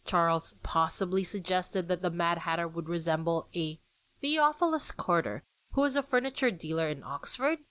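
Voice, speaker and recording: A woman podcast host reads a scripted story about art history. Her voice sits fairly low and swings widely in pitch as she explains. The sound is dry and close, with no room echo. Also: expressive, normal speed, fairly bright tone, clear, resonant tone.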